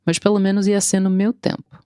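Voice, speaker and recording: A woman's voice: mid-range voice, completely flat delivery, a little fast, dark tone, smooth, dry recording, studio quality. A woman in her thirties, a translator, telling her own story in wry, confessional scripted narration. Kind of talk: scripted first-person narration.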